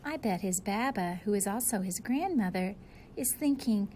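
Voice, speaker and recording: A woman's voice: medium pitch, animated, normal speed, a little mellow, slight background noise. A woman reading a picture book aloud like a teacher, expressively and with simple asides to young children.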